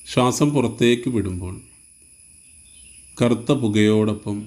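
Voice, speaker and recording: A man with a Malayali accent leading a guided meditation, giving slow, soothing instructions in short phrases with pauses. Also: lowish voice, a little mellow.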